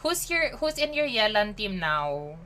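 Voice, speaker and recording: Chatty woman streamer, bright voice. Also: medium pitch, very animated, a little slow, a little hiss or hum. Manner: chatty and upbeat, mixes English with Tagalog, laughs often, greets chat by name. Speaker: game streamer. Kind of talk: casual live-stream chat.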